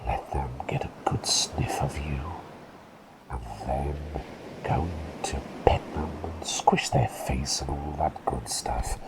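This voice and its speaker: A man voicing an eccentric, kindly plague doctor in an ASMR roleplay, whispering gently and soothingly with quaint, effusive repeated phrases. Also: very deep voice, steady tone, takes it slowly, rough recording. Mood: gentle, chatty. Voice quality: breathy whisper with creaky edge.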